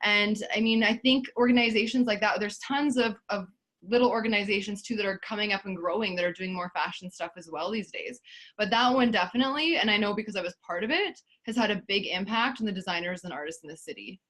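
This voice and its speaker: A woman's voice: medium pitch, fast talker, a bit bright. Canadian woman chatting as an interview guest, laid back, lots of um and yeah. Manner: easygoing, agreeable, many "um" and "yeah". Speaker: interview guest, festival founder. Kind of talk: casual interview chat.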